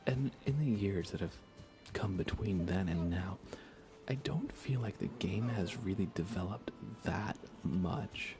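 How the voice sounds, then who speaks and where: Soft-spoken, low-voiced man, ASMR chat. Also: lively intonation, unhurried, over music. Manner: soft-spoken, often dropping into a whisper. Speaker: livestreamer playing a video game. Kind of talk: casual ASMR livestream chat.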